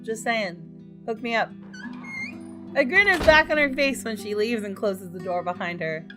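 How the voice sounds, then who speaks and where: A woman doing let's play commentary and reading story text aloud. She is casual and expressive, with a medium-pitched voice over clear guitar music. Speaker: gaming video host.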